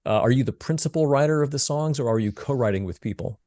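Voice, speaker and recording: A man's voice: mid-range voice, quick pace, dark tone, no room sound, studio quality. A man hosting a music interview, asking questions and affirming his guest. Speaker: interview host.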